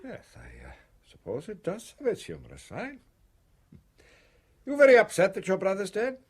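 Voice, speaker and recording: An actor playing a courteous, probing English police inspector in a radio drama, measured and politely hesitant. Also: male voice, high voice, wildly swooping pitch, breathy edge, exaggerated voice. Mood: calm, curious.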